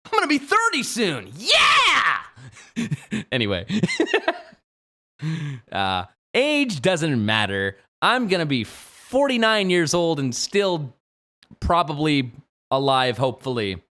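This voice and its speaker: A man in his twenties, a game streamer, chatting jokily on a late-night livestream and jumping from low mumbles to sudden shouts. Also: huge pitch swings, takes it slowly. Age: 20s. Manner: playful, jokey, swings from mumbling to sudden shouts.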